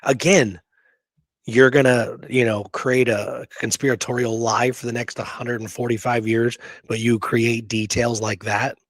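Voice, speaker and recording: A man co-hosting a casual history discussion, telling the story in an animated way with rhetorical questions. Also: mid-range voice, a little slow, no echo. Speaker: podcast co-host presenting history research.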